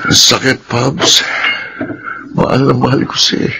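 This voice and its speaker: Earnest young Filipino man, medium pitch. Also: flat delivery, takes it slowly, creaky, very noisy. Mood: heartbroken. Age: young adult. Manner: earnest, emotional. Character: earnest, devoted young adult son and lovelorn boyfriend. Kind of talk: Filipino radio drama acting.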